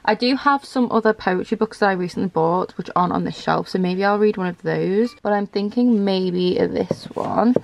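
A woman's voice: mid-range voice, moderate pace, gravelly, few pauses, a little mellow, low-quality recording. A woman, chatting casually for a book vlog and thinking aloud in an indecisive, rambling way. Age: 20s. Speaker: book vlogger. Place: small furnished room at home.

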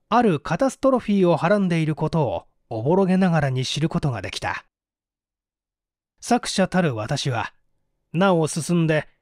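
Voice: mid-range voice, no room sound, clean studio sound. A narrator reading a mystery novel aloud as scripted literary storytelling. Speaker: audiobook reader.

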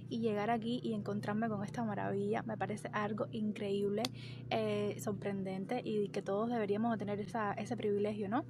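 A woman's voice: medium pitch, flat delivery, normal speed. A young Cuban woman with a Cuban Spanish accent, chatting enthusiastically to her phone camera outdoors. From Cuba. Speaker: vlogger. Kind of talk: casual vlog talk to camera. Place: outdoors by trees on a street. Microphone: phone camera held at arm's length. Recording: outdoor street noise behind.

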